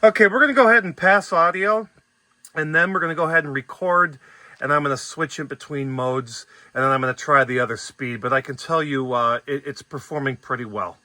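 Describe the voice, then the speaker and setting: A man casually talking through a tape machine repair at his workbench, plain and unhurried, with fillers. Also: fairly high pitch, bright, forceful tone, dry recording. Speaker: repair vlogger. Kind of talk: casual workshop narration.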